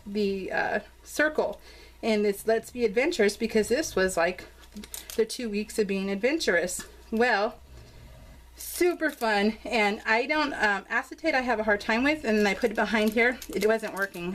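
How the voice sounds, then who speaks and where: An American woman with a medium-pitched voice talks through her craft pages in a casual vlog style. She is bubbly and gushing, and she rambles along in loose, unscripted sentences. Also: fairly bright tone. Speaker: crafting vlogger showing scrapbook pages.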